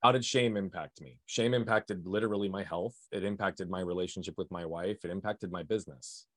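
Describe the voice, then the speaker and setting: Fast, loud, high-energy man. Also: no echo. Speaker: guest, performance coach. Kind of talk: podcast interview, emphatic explaining.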